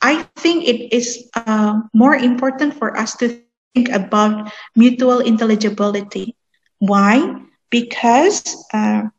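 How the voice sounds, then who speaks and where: Slow-spoken Indonesian woman lecturer, high voice. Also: muffled recording. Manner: hesitant, deliberate, switching between English and Indonesian. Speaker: university lecturer. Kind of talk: lecture answering a student's question, casual and explanatory.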